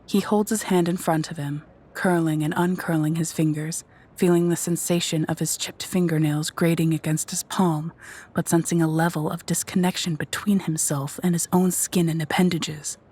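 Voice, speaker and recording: Low, husky woman narrator. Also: fairly even intonation, darkish tone. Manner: measured, descriptive storytelling. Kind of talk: scripted narration of a horror audio drama.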